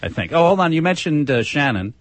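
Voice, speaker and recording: A man's voice: fairly high pitch, very animated, a little fast, no room sound. A man hosting a community radio talk show, joining in wry, joking banter with his co-host. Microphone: studio microphone.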